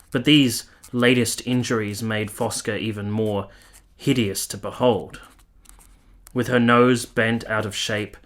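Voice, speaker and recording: An actor playing an earnest, tormented soldier of 1870s Italy in a radio drama, speaking in a formal, measured, literary manner. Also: male voice, fairly low pitch, fairly bright tone. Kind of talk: dramatic radio play narration and dialogue.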